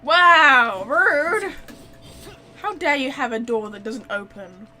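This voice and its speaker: A woman giving casual let's play commentary as a game streamer, chatty and expressive. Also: high voice, slow, soft background music.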